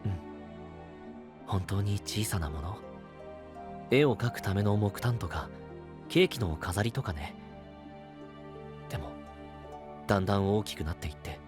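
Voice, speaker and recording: A male actor playing a gentle, responsible young knight in an audio drama, soft-spoken and earnest. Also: fairly low pitch, a little slow, pauses often, over music, lightly acted. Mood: somber explaining. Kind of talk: Japanese anime-style audio drama acting.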